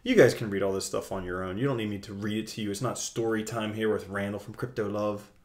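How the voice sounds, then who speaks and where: A young man hosting a casual video, talking through his screen in a chatty, jokey way with big swings in loudness. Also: on the low side, steady tone, quick pace. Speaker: crypto video host. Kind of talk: casual screen-share commentary.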